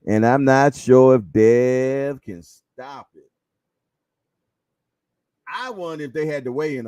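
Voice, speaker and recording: A man in his fifties hosts a boxing livestream in a slow, unhurried drawl. He chuckles often, repeats himself and trades slangy banter with his viewers. His voice sounds close and dry, with no room echo. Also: medium pitch, mellow. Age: 50s. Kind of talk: casual livestream chat reading viewer comments.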